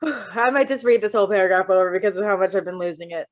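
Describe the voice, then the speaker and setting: A woman reading fan fiction aloud in a casual, giggly, expressive way, breaking into chatty asides. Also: medium pitch, quick pace, dull audio. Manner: giggly, expressive, exclaiming and swearing. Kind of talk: giggly live read-aloud with casual chat.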